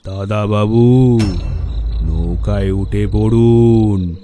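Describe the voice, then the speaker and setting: A man playing an eerie, cackling ghostly boatman in a horror audio drama, oily and polite in drawn-out speech. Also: on the low side, mellow, slight background noise. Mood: sinister, ingratiating.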